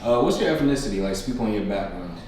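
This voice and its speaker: A man's voice: fairly low pitch, animated, fast talker, a little mellow. A man hosting a podcast, putting casual questions to his guest in an informal interview style. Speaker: podcast host. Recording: echoey room, distant mic, background noise.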